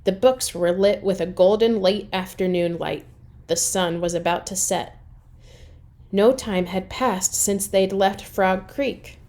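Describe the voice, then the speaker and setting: Expressive woman reading a children's story. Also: mid-range voice, slow. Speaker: reader of a children's chapter book. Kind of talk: expressive read-aloud storytelling.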